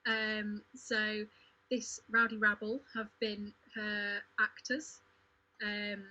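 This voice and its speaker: A woman chats casually in a hesitant, teasing way. Her voice is bright and slow-paced, on a slightly dull lo-fi recording. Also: mid-range voice, flat delivery. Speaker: cast member on a panel. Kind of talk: casual panel chat.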